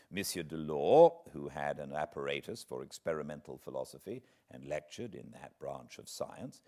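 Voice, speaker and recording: A British man reading a classic book aloud as an audiobook narrator, with measured, theatrical phrasing. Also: sits low, a little mellow. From Britain. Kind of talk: expressive literary audiobook reading.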